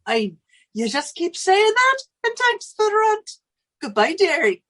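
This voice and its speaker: A woman's voice: very high voice, big pitch swings, measured pace, a bit bright, full character voice. A woman voicing a coaxing older Irish neighbour with an Irish accent in a 1940s-style radio comedy.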